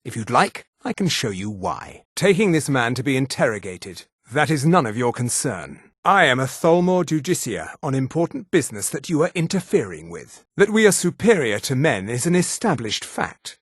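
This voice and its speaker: An actor playing an arrogant, imperious male high elf in a fantasy video game, with a condescending, clipped manner. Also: sing-song, normal speed, few pauses, husky, no echo, clean studio sound. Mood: arrogant, menacing.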